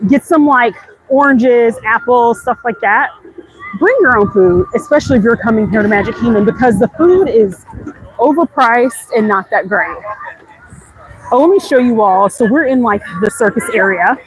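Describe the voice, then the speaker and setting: A woman's voice: a little high, lively intonation, normal speed, husky, low-quality recording. A woman chatting casually and candidly to viewers as she hosts a walking livestream, speaking emphatically and directly. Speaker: content creator hosting a live walk-and-talk stream. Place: outdoors, walking through a busy theme park. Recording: outdoor crowd and ride noise. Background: park ambience, passing ride noise.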